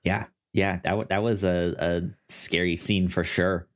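A man co-hosting a casual film discussion, speaking haltingly with frequent stammers and repeated words, sometimes animated. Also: low-pitched. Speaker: podcast co-host.

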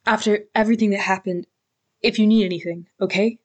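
A woman reading aloud, voicing a loud, rowdy male hockey teammate who jokes and cheers. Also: mid-range voice, brisk, close to an everyday voice. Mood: excited, teasing. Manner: loud, excited, teasing.

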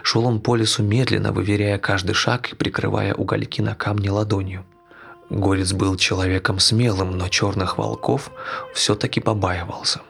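Low, creaky male storyteller. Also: little pitch movement, normal speed, soft background music. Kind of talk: scripted storytelling narration of a dark fable.

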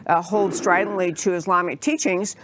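A woman's voice: fairly low pitch, fairly even intonation, moderate pace, no echo. A woman hosting a talk show, introducing her guest with chatty enthusiasm and frequent fillers. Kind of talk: interview show introduction and questions.

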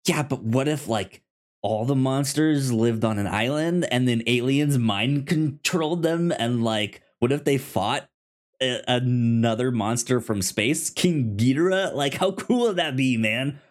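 Man, podcast co-host, casual enthusiastic film chat, mid-pitched, slow and halting delivery. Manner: halting, repetitive, enthusiastic fan talk. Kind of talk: casual movie discussion.

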